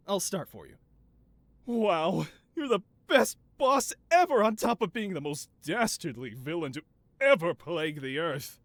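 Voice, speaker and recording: A man's voice: high-pitched, a bit bright, no echo, full character voice. A man playing a flamboyant, doting mad scientist supervillain boss in a scripted audio roleplay, with a theatrical, wheedling, self-justifying manner. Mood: playfully boastful.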